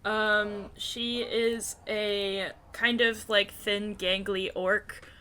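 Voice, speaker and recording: Bright, slow-spoken woman player. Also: mid-range voice, level tone, clear, resonant tone. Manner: unhurried, halting. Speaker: tabletop game player. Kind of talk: casual improvised character description.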